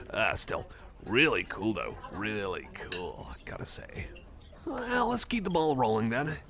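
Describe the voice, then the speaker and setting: A man's voice: medium pitch, sing-song, slow. A man chatting casually to the listener in an ASMR roleplay, rambling with a hesitant, geeky enthusiasm. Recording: cafe chatter behind the voice. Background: cafe crowd ambience.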